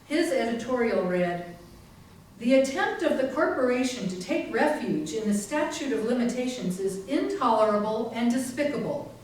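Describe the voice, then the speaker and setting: A woman's voice: fairly high pitch, expressive, normal speed, darkish tone, close to an everyday voice. A woman playing an indignant investigator, giving pointed, emphatic documentary narration in a readers theater. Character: indignant female investigator exposing a company's wrongs. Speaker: community theatre actor. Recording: very echoey room, distant mic, faint noise.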